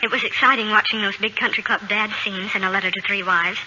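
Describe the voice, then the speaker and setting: A woman's voice: medium pitch, steady tone, fast talker, pushed and bright. A young woman, a guest actress, giving eager, scripted answers in a 1940s radio interview. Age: young adult. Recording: muffled old radio broadcast, faint noise.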